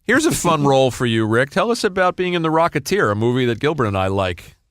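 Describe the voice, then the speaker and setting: A man's voice: mid-range voice, sing-song, fast talker, fairly bright tone, dry recording, clean studio sound. A man co-hosting a podcast, steering the chat with upbeat questions. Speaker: podcast co-host. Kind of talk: casual interview chat.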